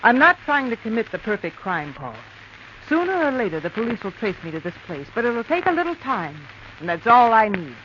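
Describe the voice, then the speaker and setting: A woman's voice: on the high side, sing-song, a little fast, fairly bright tone. An actress playing a cunning, brazen female criminal in a theatrical 1940s radio drama. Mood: cold, triumphant. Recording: noisy muffled vintage radio recording.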